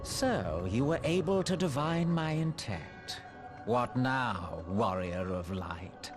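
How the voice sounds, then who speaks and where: A man's voice: medium pitch, expressive, takes it slowly, over music. A man playing an arrogant, sinister immortal sorcerer in a video game, speaking in pompous, mocking, archaic and theatrical phrases. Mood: gloating, condescending. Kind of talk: dramatic video game voice acting.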